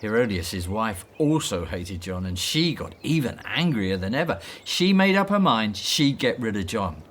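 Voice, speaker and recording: An actor playing a breezy, flippant male disciple in a radio drama, brisk and dismissive with a theatrical relish for gossip. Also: on the low side, big pitch swings, fairly bright tone. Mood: dismissive, scornful.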